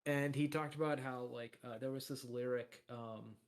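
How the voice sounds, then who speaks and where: A man with a deep voice co-hosting a podcast, rambling in casual chat with lots of fillers and restarts. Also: steady tone, moderate pace. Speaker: podcast co-host.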